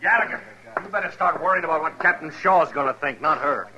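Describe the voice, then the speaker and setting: An actor playing an exasperated, anxious frontier soldier who scolds in folksy, ungrammatical talk in an old radio western. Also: male voice, on the high side, quick pace, pushed and bright, highs cut off. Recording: vintage 1950s radio recording.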